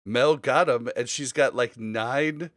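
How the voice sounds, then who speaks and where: A man's voice: mid-range voice, takes it slowly, fairly bright tone, no echo, clean studio sound. A man hosting a casual gaming podcast, talking in a rambling, informal way. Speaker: podcast host.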